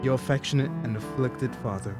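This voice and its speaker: A man's voice: mid-range voice, a little fast, over music, lightly acted. An actor playing a grieving older father, solemnly and formally reading a letter in a radio play. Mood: solemn grief.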